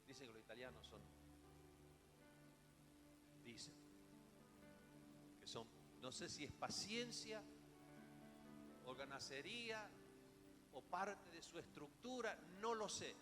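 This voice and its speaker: High-voiced Rioplatense man preaching in a reverberant hall. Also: very animated, slow pace, long pauses. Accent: Rioplatense Spanish. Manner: dramatic, rhetorical questions and emphatic repetition. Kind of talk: sermon. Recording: echoey hall, music behind the voice.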